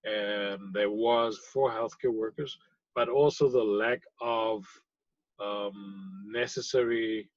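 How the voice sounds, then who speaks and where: A man from South Africa, a pharmacy technician, answering interview questions thoughtfully, with frequent pauses and fillers. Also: lowish voice, dry recording, lo-fi sound. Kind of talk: conversational interview.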